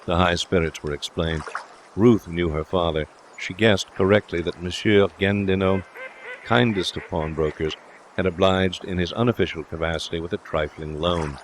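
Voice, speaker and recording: Low-voiced man narrating a literary audiobook. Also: fairly even intonation, moderate pace, a little hiss or hum, low-quality recording.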